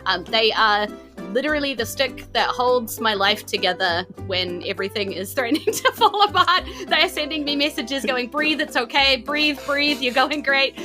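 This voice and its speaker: A woman with a New Zealand accent narrating a tabletop game as host, moving between theatrical storytelling and chatty asides. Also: mid-range voice. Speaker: game master and podcast host.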